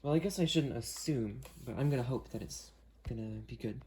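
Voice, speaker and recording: A man's voice: mid-range voice, brisk, dark tone, a little hiss or hum. A man hosting an unboxing video, talking casually and hesitantly as he works through a product demo. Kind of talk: casual unscripted product demo with friends on a call.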